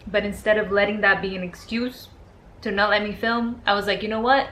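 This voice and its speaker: A young woman from Portugal, raised in Brazil, chatting casually on her vlog as a music photographer, animated and quick through her asides. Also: medium pitch, rough recording. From born in Portugal, raised in Brazil. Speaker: music photographer and channel host. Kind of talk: casual vlog chat to camera. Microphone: camera's built-in mic. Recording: faint room noise, no external mic.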